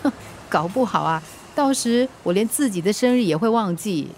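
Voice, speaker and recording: A woman's voice: medium pitch, lively intonation, moderate pace, a little mellow, slight background noise, close to an everyday voice. A woman acting a stubborn, blunt mother in her thirties in a Mandarin radio drama, with a Singaporean accent. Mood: wry, casual. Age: 30s. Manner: blunt, grumbling.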